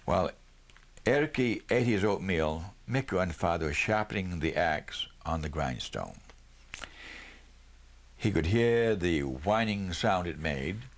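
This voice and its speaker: Male storybook reader, lower-pitched voice, narrating prose with occasional small stumbles. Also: lively intonation, slow pace. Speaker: audiobook reader.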